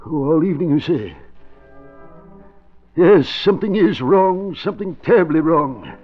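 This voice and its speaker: High, husky, flustered doctor. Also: male voice, animated, faint music behind. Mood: grave, upset. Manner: agitated, halting, exclaiming. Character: flustered, distraught doctor heading a defense office. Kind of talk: old-time radio drama acting. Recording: dull vintage radio recording.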